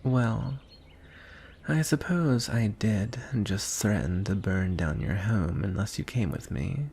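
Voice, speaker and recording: Man playing a slow, gravelly, coaxing dragon. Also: lowish voice, a little hiss or hum, exaggerated voice. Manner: slow, coaxing, softly threatening. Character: teasing, coaxing, smugly menacing male dragon. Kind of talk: ASMR fantasy roleplay.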